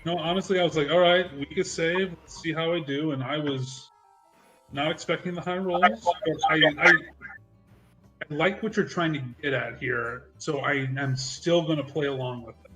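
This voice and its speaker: Brief: male tabletop player, higher-pitched voice, rambles and restarts, laughs through his points. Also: moderate pace, bright, forceful tone, soft background music, rough recording. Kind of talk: casual chat during an online game session.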